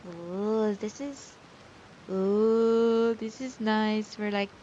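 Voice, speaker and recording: A woman's voice: medium pitch, level tone, extremely slow, warm tone, highs cut off, slight background noise. A woman giving casual gameplay commentary, informal and reactive as she thinks aloud. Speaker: gaming streamer.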